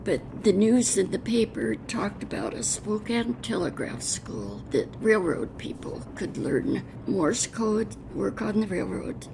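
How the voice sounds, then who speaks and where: An older American woman from Washington State recounting her life in a halting, plain-spoken way, as an oral history interviewee. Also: mid-range voice, animated. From Washington State, United States. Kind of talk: unscripted personal reminiscence. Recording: clear vehicle and ambience noise behind. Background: added steam train sound effects.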